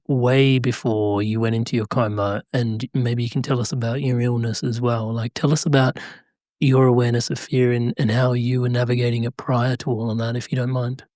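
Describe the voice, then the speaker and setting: A man's voice: mid-range voice, darkish tone, no echo, clean studio sound. A man hosting a podcast, plugging his community and then asking probing questions. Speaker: podcast host. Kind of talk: podcast interview.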